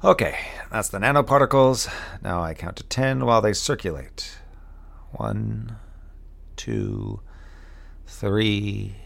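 A man's voice: on the low side, big pitch swings, slow pace, vocal fry, exaggerated voice. A man playing a weary, bookish moonbase crewman who mutters wryly to himself in an audio drama log. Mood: tense, focused. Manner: muttering to himself, wry and brooding. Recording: background noise under the voice.

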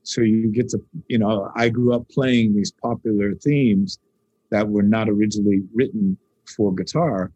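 Man, husky voice, new yorker, guitarist in an interview, animated rambling talk, dry close sound. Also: lowish voice, moderate pace, dry recording, highs cut off. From New York. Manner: animated, rambling storyteller with many restarts. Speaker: guitarist and composer. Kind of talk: casual interview conversation.

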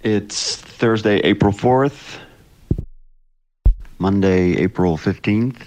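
A man's voice: on the low side, slow, darkish tone, dry recording, low-quality recording. A man from the Netherlands talking casually and a bit hesitantly, unscripted, as a guest creator. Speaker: audio drama creator, interview guest. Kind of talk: casual voice memo and call chat.

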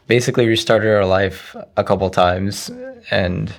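Young guy from iraq, arabic first language, low gravelly voice, hesitant, lots of "you know". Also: steady tone, moderate pace. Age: around 20. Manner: soft-spoken, hesitant, frequent "you know" fillers. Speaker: interview guest, young poet. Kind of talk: casual conversational interview.

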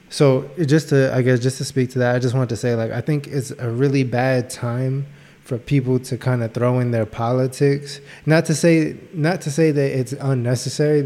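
Young man, flat delivery, dark tone, rambling podcast talk. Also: mid-range voice. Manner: rambling, searching for words, many fillers. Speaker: podcast co-host. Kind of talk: casual podcast chat.

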